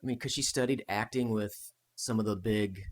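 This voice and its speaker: A man's voice: on the low side, normal speed. An American man co-hosting a podcast, chatting casually about history and rambling with fillers and restarts. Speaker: podcast co-host.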